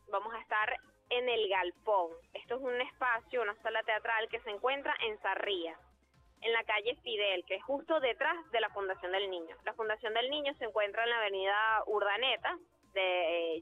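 A young actress speaking, answering earnestly and thoughtfully, with many pauses and fillers. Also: female voice, medium pitch. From Venezuela. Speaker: actress, interview guest. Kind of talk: phone interview. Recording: tinny phone line with faint music.

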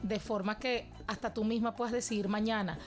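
A Venezuelan woman with a mid-range voice speaks in an earnest, reflective way, weighing her words. She has the ease of a guest on an interview program. Soft music plays faintly behind her. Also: mid-range voice, steady tone. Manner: earnest and reflective, with measured phrasing. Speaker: guest, cultural educator. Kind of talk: interview answers.

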